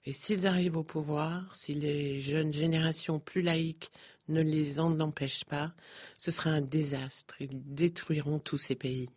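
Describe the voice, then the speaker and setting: A woman giving a steady voice-over translation of a guest's answers. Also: sits low, unhurried, slightly rough. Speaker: interpreter.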